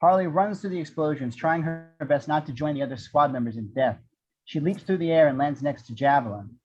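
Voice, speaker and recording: A man's voice: on the high side, fairly quick, husky, highs cut off, soft background music. A man reading action descriptions as the narrator of an amateur screenplay table read.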